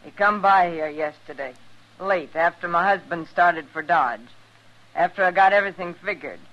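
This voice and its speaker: Actress for stern American frontier farm wife, radio western: woman of few words, deliberate, unyielding; dull vintage sound. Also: on the low side, a bit bright, natural voice. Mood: controlled, pointed. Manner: terse, deliberate. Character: grim, resolute frontier farm wife and mother. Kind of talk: old-time radio western acting.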